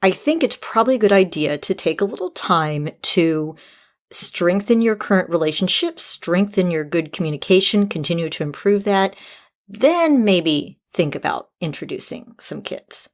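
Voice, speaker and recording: A woman with a slightly low voice hosts a podcast, talking through relationship-style advice. She explains things in a measured, conversational manner, as if answering a listener. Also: animated. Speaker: podcast host.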